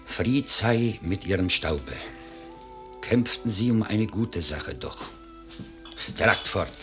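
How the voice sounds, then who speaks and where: A man's voice: fairly low pitch, lively intonation, slow pace, fairly bright tone. A man playing a grave, proud and mournful old Bohemian cellar master in a 1950s German radio drama. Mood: proud, solemn. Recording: 1950s radio recording, music behind.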